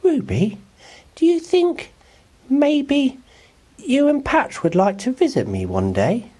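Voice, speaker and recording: A man voicing a lonely, gentle, kind-hearted young boy in a children's storybook. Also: extremely high pitch, big pitch swings, slow pace, pitched above the performer's own voice, exaggerated voice. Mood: brave, hesitant.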